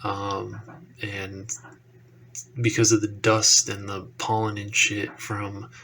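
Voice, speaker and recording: A man's voice: fairly low pitch, slow, gravelly, a little hiss or hum. A young man who says he is congested from allergies, talking casually and rambling on his own podcast, with lots of ums and swearing. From Texas. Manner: relaxed rambling with frequent ums and profanity. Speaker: action figure YouTuber. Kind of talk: casual solo podcast talk.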